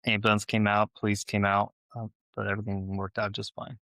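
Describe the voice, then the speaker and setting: A man's voice: fairly low pitch, little pitch movement, a little fast, fairly bright tone, no echo. A man who grew up in Los Angeles, answering questions conversationally as an interview guest. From born in Ukraine, grew up in Los Angeles, United States. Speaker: podcast guest, real estate investor and former Navy officer.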